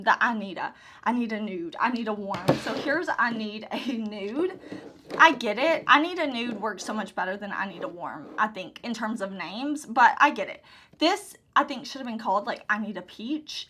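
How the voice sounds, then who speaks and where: A woman's voice: a little high, background noise. A woman casually talking through her makeup collection, gushing and enthusiastic, repeating her favorite phrases. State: hoarse, recovering lost voice. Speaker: beauty YouTuber. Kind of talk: casual product overview.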